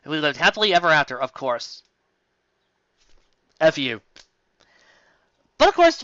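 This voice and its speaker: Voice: mid-range voice, bright, forceful tone, smooth, no room sound. An exasperated, theatrical reader giving mocking commentary on fan fiction, exclaiming in a slightly dull recording.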